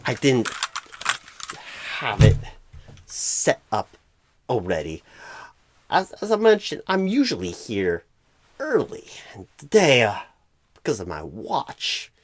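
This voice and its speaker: A man voicing a clueless, flustered job recruiter in an ASMR roleplay, rambling with odd pauses mid-sentence. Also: medium pitch, no room sound, heavily acted. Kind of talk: ASMR roleplay, comic improvised monologue.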